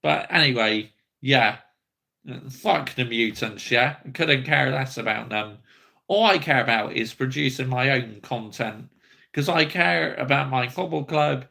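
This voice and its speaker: Bright, gravelly man ranting. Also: medium pitch, unhurried. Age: 30s. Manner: angry, blunt ranting, sweary. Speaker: football fan channel host. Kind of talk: livestream fan rant.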